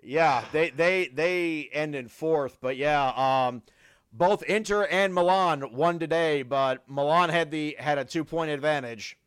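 A man co-hosting a casual football podcast, his voice raspy from a cold, often stumbling over and repeating words and laughing. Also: on the high side, measured pace, a bit bright. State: sick with a cold. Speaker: podcast co-host.

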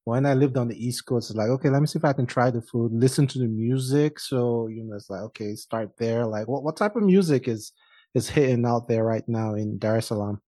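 A man's voice: medium pitch, fairly quick, dark tone, no room sound. Laid-back Nigerian man hosting. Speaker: host. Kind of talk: casual interview chat.

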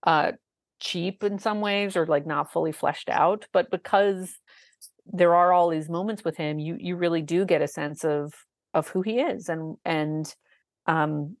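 A woman with a low voice, co-hosting a podcast, talking in an enthusiastic, chatty way with lots of fillers. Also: unhurried, no room sound, studio quality. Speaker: podcast co-host. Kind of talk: casual chat about a musical.

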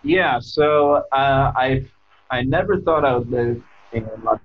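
A man's voice: medium pitch, unhurried, phone-quality sound. An American man in his thirties telling his story casually as an interview guest, hesitant and often correcting himself. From United States. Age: 30s.

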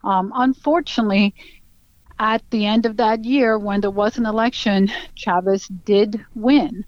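A woman's voice: medium pitch, unhurried, no room sound. A middle-aged woman from Venezuela with a Venezuelan Spanish accent, telling her story earnestly as an interview guest, with many fillers and acted-out quotes. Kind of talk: personal account in an interview. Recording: dull, dry lo-fi sound.

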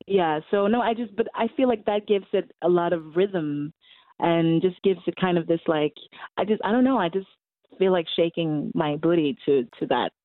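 A woman in her twenties with a Norwegian accent speaks English as a second language, pausing and circling back while she hunts for words. Her voice is fairly low and comes through a thin, phone-like line with no room sound. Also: no room sound. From Norway. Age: 20s. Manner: hesitant, searching for English words. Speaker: musician guest. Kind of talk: casual interview over a call.

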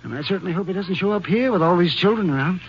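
High-pitched, fretful school principal played by a man. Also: very fast, natural voice. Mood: uneasy, fretful. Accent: American. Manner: fretful, hesitant, stammering. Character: nervous, fussy small-town male school principal. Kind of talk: 1950s radio drama acting. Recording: noisy, muffled old radio broadcast recording.

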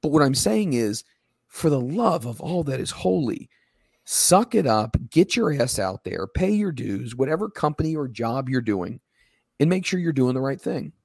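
Hoarse, emphatic podcast co-host man. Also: medium pitch, moderate pace, no echo, clean studio sound. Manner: emphatic, ranting, animated when quoting others. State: slightly hoarse. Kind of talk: casual podcast chat.

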